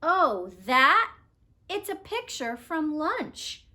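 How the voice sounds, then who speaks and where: A woman's voice: high voice, sing-song, very slow, bright, forceful tone, pitched above the performer's own voice, exaggerated voice. A woman voicing an eager, oblivious little male bird who talks in a chirpy, boastful way for a storybook. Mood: breezy, defensive. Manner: chirpy, boastful, self-absorbed.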